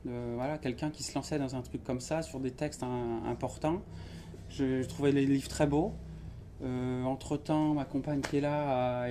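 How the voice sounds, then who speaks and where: A man, an author, talking casually about his writing in an interview at a bookshop event, relaxed and halting, often pausing with fillers. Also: medium pitch, slight background noise. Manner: relaxed, halting, fills with "voilà" and "ouais". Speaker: author at his book launch. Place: bookshop event before an audience.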